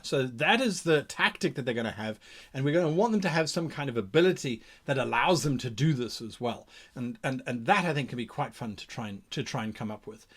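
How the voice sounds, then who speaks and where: A man's voice: medium pitch, lively intonation, a little fast. A man hosting an instructional talk on game mastering, speaking off the cuff in a theatrical, emphatic way and repeating words for effect. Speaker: host of a game master tips channel. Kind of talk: instructional talk, improvised explanation.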